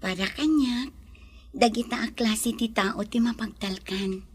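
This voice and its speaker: A gentle, ailing city lady in an Ilocano-accented radio drama. Her voice sits fairly high and has a soft rasp, and she speaks as a trusting woman resting in the country. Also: unhurried. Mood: wistful.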